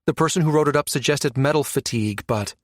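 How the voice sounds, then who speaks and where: A man voicing an earnest, protective analyst in an audiobook, quick to report the facts. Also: a little high, no room sound, clean studio sound, voice pushed up, lightly acted.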